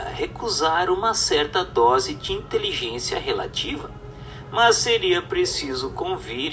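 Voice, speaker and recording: A man's voice: a little high, sharp tone, distant mic, slight background noise. A man narrating a scripted text in a formal, didactic way with emphatic phrasing. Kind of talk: scripted reading of a spiritist text, audiobook-like.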